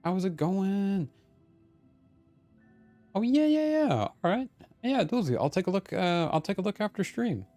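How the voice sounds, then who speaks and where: A streamer giving casual, chatty live commentary on a game, reading along and reacting in an animated way. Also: medium pitch, a little slow, long pauses. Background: faint game music.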